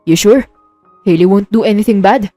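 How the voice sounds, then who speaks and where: A woman's voice: medium pitch, moderate pace, warm tone, no room sound, faint music behind, lightly acted. A woman playing a worried, loyal male sidekick, serious and watchful, in a romance audio drama. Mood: serious, wary.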